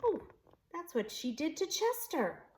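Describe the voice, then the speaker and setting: A woman preschool teacher reading a picture book aloud in expressive storytelling narration for young children. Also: high voice, a little slow.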